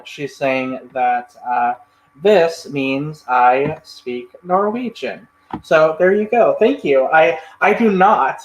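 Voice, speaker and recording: A man's voice: fairly high pitch, reverberant, poor audio. A man hosting a casual livestream, reading and answering chat comments in a rambling, friendly way with lots of fillers and restarts. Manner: friendly, thanks viewers often. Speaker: livestream host.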